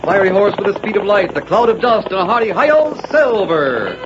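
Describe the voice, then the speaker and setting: A man's voice: high-pitched, big pitch swings, moderate pace, very noisy. A man with an American accent narrating a 1940s radio western in a grand, declamatory style. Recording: muffled 1940s radio recording.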